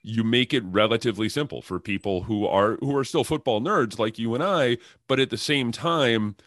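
A man hosting a sports podcast, interviewing conversationally and somewhat haltingly, often repeating words as he goes. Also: medium pitch, a bit bright, no room sound, studio quality.

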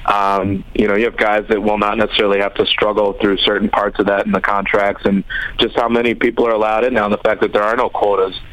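A man in his thirties who grew up in the Chicago suburbs, speaking as an interview guest in a measured, hesitant way. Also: on the low side, level tone, fairly bright tone, no echo, dull audio. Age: 30s. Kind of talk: conversational interview.

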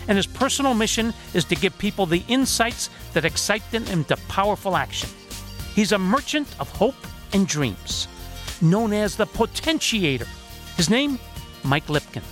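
A man hosts a radio interview show. His voice is high and very animated in pitch, and he presents his guest with deliberate emphasis. Faint music plays behind him.

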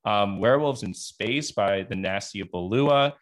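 A man's voice: lowish voice, measured pace. A man interviewing casually as a podcast host, thinking aloud and rephrasing as he goes.

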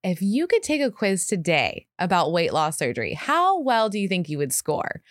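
A woman's voice: on the low side, huge pitch swings, normal speed, no room sound. Enthusiastic woman podcast co-host, dry sound. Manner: enthusiastic, says freaking a lot. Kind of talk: casual chat.

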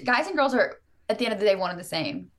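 A woman's voice: medium pitch, wildly swooping pitch, fast talker. A woman in her twenties, a guest on a podcast, chatting casually in a laid-back, frank way. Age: 20s.

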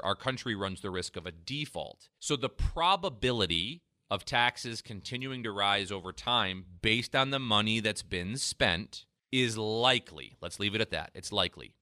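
A man hosting a retirement finance radio show, pitching a free tax analysis and explaining when to claim benefits. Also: sharp tone, no echo, studio quality. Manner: persuasive sales pitch, then explanatory. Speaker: financial advisor radio host.

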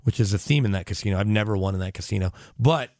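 A man's voice: fairly low pitch, very fast, a little mellow, no echo, studio quality. A middle-aged American man, a radio reporter guesting on a podcast, tells rambling anecdotes in casual, talkative chat.

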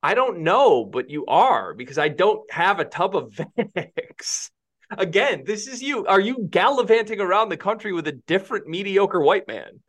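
A man's voice: sits high, animated, normal speed, fairly bright tone. A man co-hosting a casual sports podcast, teasing his partner and laughing often. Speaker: podcast co-host.

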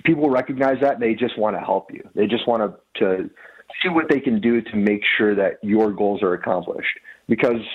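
A man's voice: on the low side, normal speed, husky. Earnest man on a phone line. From Mexico City, raised in Dearborn, Michigan. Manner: earnest, many restarts. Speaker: food business owner, guest. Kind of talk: radio interview. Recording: tinny phone line on radio.

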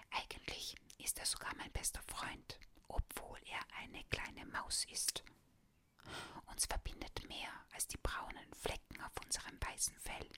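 A woman reading a children's story aloud in a soft, unvoiced whisper.

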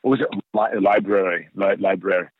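A man with a Boston accent, a voice actor chatting casually in an interview, rambling with quick restarts. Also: low voice, fairly even intonation, no echo. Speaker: voice actor guest. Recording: tinny phone line.

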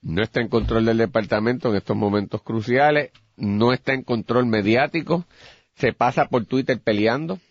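A man with a Puerto Rican accent arguing a point deliberately in repeated phrases as a political commentator, recorded with dull radio sound. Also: mid-range voice, no echo.

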